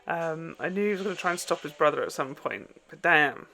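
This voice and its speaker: A reaction host giving casual, emotionally expressive commentary full of exclamations while watching a TV show. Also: medium pitch, brisk, creaky.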